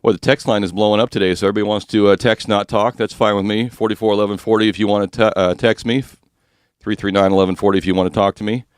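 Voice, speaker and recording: A man hosting a finance talk radio show, speaking with a slightly rough, husky voice and explaining mortgage rules in a chatty, joking way. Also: lowish voice, fairly even intonation, quick pace, no echo, clean studio sound.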